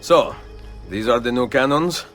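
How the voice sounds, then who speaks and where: An actor playing a confident, charming Renaissance nobleman assassin in a video game, speaking in a suave, measured Italian accent. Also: medium pitch, lively intonation, fairly bright tone, soft background music. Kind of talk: video game cutscene acting.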